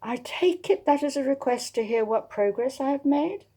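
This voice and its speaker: A woman's voice: high voice, animated, moderate pace, dark tone, husky, pitched above the performer's own voice, heavily acted. A woman voicing a proud, exasperated genteel lady sleuth, speaking formally and defiantly in a dramatised historical novel. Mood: cool, reluctant.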